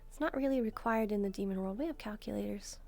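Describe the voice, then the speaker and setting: Woman as a mellow, gentle demon maid. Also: mid-range voice, fast, vocal fry, natural voice. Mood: curious. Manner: gentle, musing, softly teasing. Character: gentle, devoted young female demon maid. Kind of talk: soft-spoken roleplay addressed to the listener. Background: background music.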